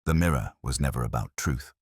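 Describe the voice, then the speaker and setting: A man voicing a brooding, cerebral gentleman detective in an audiobook, grave and deliberate. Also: a bass voice, expressive, husky, dry recording, clean studio sound, pitched below the performer's own voice, heavily acted. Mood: grave, pensive. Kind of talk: audiobook character reading.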